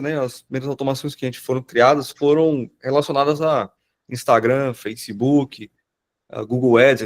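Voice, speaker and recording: A man with a cold talks in a hoarse, slightly nasal voice at an ordinary mid pitch. He rambles informally through a live webinar, full of fillers and restarts. He sounds like he is on a computer mic during a video call. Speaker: automation business owner presenting in a webinar. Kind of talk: casual live presentation.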